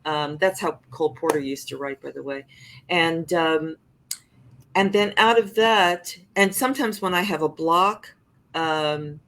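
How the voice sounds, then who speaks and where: Expressive older woman, reflective. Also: sits low, unhurried. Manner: reflective, expressive, with frequent restarts. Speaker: singer-songwriter guest. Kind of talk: podcast interview conversation.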